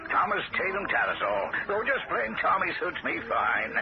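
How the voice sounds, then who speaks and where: A man's voice: high voice, sing-song, moderate pace, gravelly, full character voice. An actor playing a folksy, rambling old small-town American real estate salesman in a jovial radio drama style. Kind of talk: vintage radio drama acting. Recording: muffled, noisy old radio broadcast.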